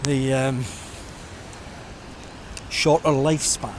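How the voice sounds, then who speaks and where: A man's voice: medium pitch, fairly even intonation, slow pace. A middle-aged man with a Scottish accent chatting casually and reflectively as he vlogs while walking. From Scotland. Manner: reflective, informal, conversational. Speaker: vlogger. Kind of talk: casual rambling vlog chat while walking. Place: outdoors, walking along a busy seafront. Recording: outdoor street noise with traffic.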